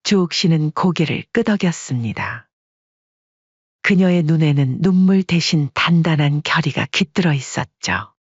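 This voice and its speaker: A narrator tells a story in even, measured tones. The voice is husky and the sound is dry and close. The recording is slightly muffled, like lo-fi audio. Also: medium pitch, dry recording.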